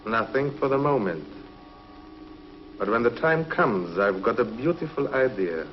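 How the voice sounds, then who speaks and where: A man's voice: mid-range voice, expressive, measured pace, a little hiss or hum, close to an everyday voice. A man playing a sneering escaped convict. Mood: gloating. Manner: taunting, sneering. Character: escaped male convict, gloating ruthless villain. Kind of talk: 1950s TV drama acting. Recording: dull lo-fi 1950s TV soundtrack.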